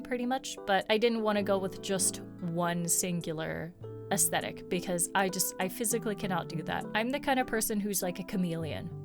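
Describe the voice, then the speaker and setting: A woman giving a casual, chatty narrated tour of her video game island, with playful jokes and asides. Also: a little high. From Swedish heritage.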